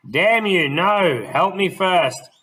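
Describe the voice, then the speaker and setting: A man voicing a large, frightened, blind old gentleman who pleads anxiously for help. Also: high-pitched, animated, slow pace, distant mic, pitched above the performer's own voice, heavily acted. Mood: desperate.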